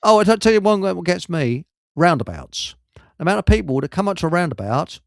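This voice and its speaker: A man's voice: high voice, big pitch swings, a little fast, creaky, dry recording, studio quality. An older man, chatting casually as a radio host and chuckling through rambling anecdotes. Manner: jovial, chuckles through his stories.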